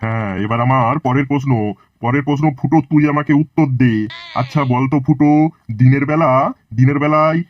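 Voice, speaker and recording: Cartoon role: a sly teacher played by a man; quick, sugary, muffled lo-fi recording. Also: medium pitch, steady tone, close to an everyday voice. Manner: sweet-talking, mock-kind. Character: sly schoolteacher who hands out beatings. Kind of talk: cartoon voice acting.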